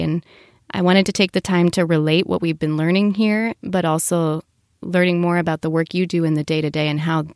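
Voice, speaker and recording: Lowish, creaky young woman interviewing. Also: darkish tone, no echo, studio quality. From half American, half Danish background. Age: young adult. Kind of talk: conversational interview.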